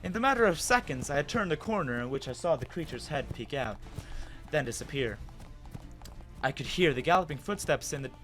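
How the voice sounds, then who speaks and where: A man giving an expressive, dramatic first-person reading of a story as its narrator. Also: mid-range voice. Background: music.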